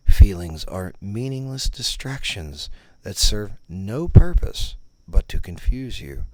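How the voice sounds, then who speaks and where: A man narrating a scripted parody guided meditation, giving instructions in a deadpan, condescending manner. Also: deep voice, no room sound.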